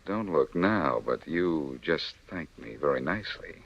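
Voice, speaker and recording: An actor playing a suave, easygoing reformed thief and hero, with smooth, flirtatious delivery in 1940s radio style. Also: male voice, extremely low pitch, lively intonation, measured pace, full character voice. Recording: muffled 1940s radio recording.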